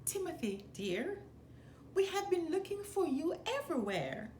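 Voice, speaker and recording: A loving storybook mother mouse acted by a woman reader speaks in a high, lilting voice. She is tender and reassuring, heard in an echoey room. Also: sing-song, measured pace, darkish tone, full character voice. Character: gentle, loving mother mouse, middle-sized storybook parent. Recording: roomy echo, faint background noise.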